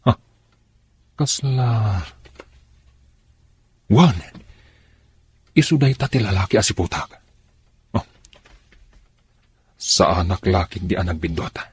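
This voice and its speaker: A man acting a wary hired neighbour in a radio drama, with a husky voice and an Ilocano accent. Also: low-pitched, lively intonation, slow, pauses often, dry recording, full character voice. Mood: watchful. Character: wary hired male neighbour doing investigative errands.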